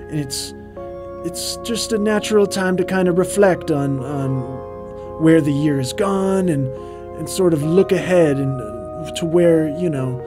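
A man's voice: a little high, lightly acted. A man voicing a vain, boastful ghost who hosts a comedic commentary track, talking in a hammy, pompous way over music. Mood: wistful. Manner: pompous, hammy, grows loud and ranting. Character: vain festive male ghost host, boastful. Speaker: co-host. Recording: music playing underneath.

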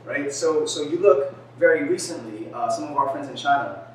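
A man in his thirties gives a conversational lecture, peppering his points with "right?" and filler sounds. His voice sits a little high for a man. He was recorded from across a bare, echoey room. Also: animated, normal speed, far from the mic, slight background noise. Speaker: presenter. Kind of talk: lecture presentation. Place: gallery-like room with a screen.

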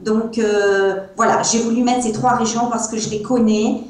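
A woman's voice: on the high side, fairly even intonation, normal speed, darkish tone. A woman in her thirties with a Provençal French accent, a guest on a video call who speaks hesitantly with many fillers. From France. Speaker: guest, wine seller. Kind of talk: interview over video call. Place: small room at home, indoors. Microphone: earbuds on a video call. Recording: echoey room sound over a video call.

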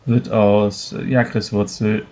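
A man playing a tabletop role-playing game, explaining in character in a deliberate, halting way. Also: on the low side, flat delivery, darkish tone.

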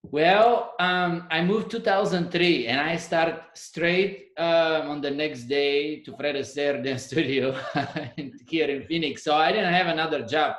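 A man with a Bulgarian accent, a dance teacher, telling lively stories as a guest on a video-call interview. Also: a little high, measured pace. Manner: animated storyteller, acts out quoted lines with laughter. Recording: echoey room over video call.

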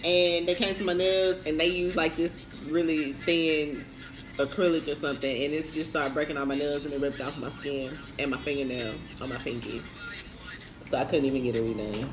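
A woman in her twenties chatting casually while eating on camera, telling a story in relaxed slang. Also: deep voice, moderate pace. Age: 20s. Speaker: vlogger. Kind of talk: casual mukbang chat. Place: indoors by a concrete wall, eating at a table. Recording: roomy echo with music underneath.

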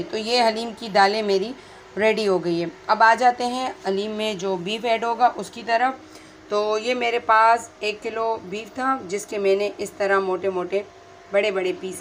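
A woman with a hoarse, sore throat, chatting straight to viewers as she hosts a casual home cooking tutorial, filmed on a phone in her kitchen. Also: mid-range voice, a little hiss or hum. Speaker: cooking channel host.

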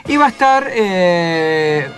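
A man's voice: sits high, lively intonation, slow. A man with a Rioplatense Argentine accent hosting a casual radio chat in a playful way, often tagging phrases with a questioning "eh". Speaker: radio show host. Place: radio studio. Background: loud music bed.

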